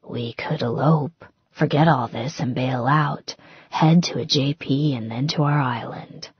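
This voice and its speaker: A woman voicing a tender, protective, intense wealthy groom in a romance audiobook, in a hushed, intimate murmur. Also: very deep voice, measured pace, husky, dry recording, lo-fi sound, exaggerated voice.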